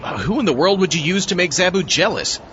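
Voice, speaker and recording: Man playing a high-pitched, animated doubting sidekick. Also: quick pace. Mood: doubtful, worried. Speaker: podcast host. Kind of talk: comedic audio drama acting. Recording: muffled, with background hum and noise.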